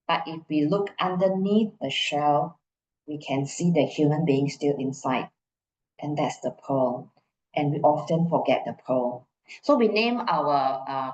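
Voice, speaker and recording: A middle-aged woman with a Malaysian accent giving an informal explanatory talk, repeating phrases for emphasis and checking in with her listeners. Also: on the low side. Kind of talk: conference presentation, informal and explanatory. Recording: muffled, echoey room.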